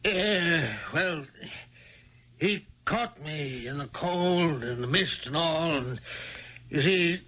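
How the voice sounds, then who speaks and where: A man's voice: a little high, slow pace, bright, forceful tone, exaggerated voice. An actor playing a sheepish, hapless policeman in a radio drama, hesitantly reporting to his superior. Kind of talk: 1970s radio drama acting. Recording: dull old radio broadcast.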